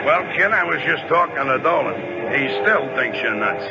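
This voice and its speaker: An actor playing a gruff, good-natured American baseball catcher in slangy, ungrammatical 1940s radio comedy style. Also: male voice, fairly high pitch, expressive, over loud music, close to an everyday voice. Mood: concerned, chiding. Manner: slangy, ungrammatical, drawn-out hesitations. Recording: dull, hissy old radio recording.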